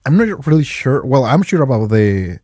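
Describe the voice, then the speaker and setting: A man's voice: medium pitch, sing-song, normal speed, warm tone, no room sound, clean studio sound. A young man with a Mexican Spanish accent, a native Spanish speaker, chatting as a guest and searching for English words. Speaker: guest, game store owner. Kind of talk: casual interview chat.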